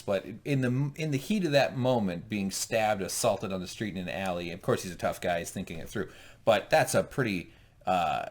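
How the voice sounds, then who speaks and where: A man co-hosting a casual TV recap, rambling, restarting his sentences and swearing as he laughs at himself. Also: fairly low pitch. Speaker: podcast co-host.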